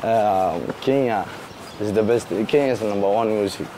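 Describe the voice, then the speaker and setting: A young man in his twenties from Somalia, speaking halting English with a Somali accent in a street interview, switching to quick Somali. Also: lowish voice, dark tone, slight background noise, rough recording. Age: 20s. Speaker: musician guest. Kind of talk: street interview, casual chat. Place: city street outdoors.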